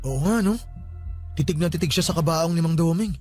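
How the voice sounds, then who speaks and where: A man's voice: a little high, a little fast, darkish tone, faint music behind, lightly acted. An actor playing a spooked young man at a village wake in a radio drama, startled and questioning in a colloquial way. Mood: uneasy, startled.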